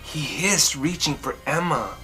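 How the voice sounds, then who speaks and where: A woman reading a children's story aloud in an expressive, dramatic and deliberate narrating style. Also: sits low, soft background music. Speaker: storybook reader.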